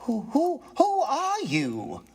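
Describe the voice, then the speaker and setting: High-pitched, nervous, polite gentleman acted by a man. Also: wildly swooping pitch, slow, full character voice. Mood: frightened. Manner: fussy, courteous, hesitant. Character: nervous, polite, reasonable male tenant, frightened then chatty. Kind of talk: theatrical storybook character reading.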